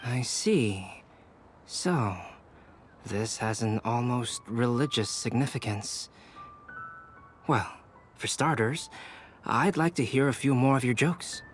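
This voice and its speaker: A man voicing a calm, cultured young alchemist in a video game, speaking in measured, courteous, formal phrases. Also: mid-range voice, soft background music, natural voice. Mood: polite interest. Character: calm, cultured young male alchemist and artist, politely thoughtful.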